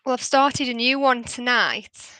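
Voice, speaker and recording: A woman's voice: a little high, unhurried, pushed and bright, smooth, poor audio. An English woman co-hosting a casual podcast chat, upbeat and explaining. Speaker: podcast co-host.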